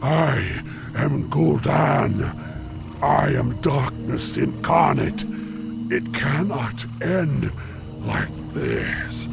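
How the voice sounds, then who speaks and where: Power-mad orc warlock, fantasy game. Slow, contemptuous, grandiose growl with wildly varied pitch. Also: male voice, expressive, heavily acted. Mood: dying, raging. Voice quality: gravelly growl. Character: ruthless, power-hungry orc warlock, male, ranting. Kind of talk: fantasy game cutscene acting. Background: game music.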